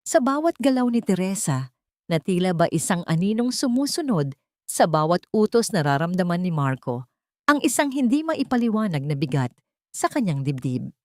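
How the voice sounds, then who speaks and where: A woman's voice: lowish voice, sing-song, moderate pace, darkish tone, no echo, studio quality. A woman narrator reading dramatic fiction as scripted storytelling.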